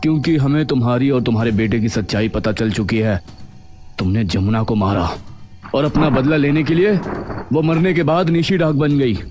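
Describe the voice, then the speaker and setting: A man voicing a firm, determined young police inspector in a cartoon story, with a brisk, authoritative delivery. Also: medium pitch, darkish tone, soft background music, close to an everyday voice. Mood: stern, resolute. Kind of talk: Hindi animated moral horror story dialogue.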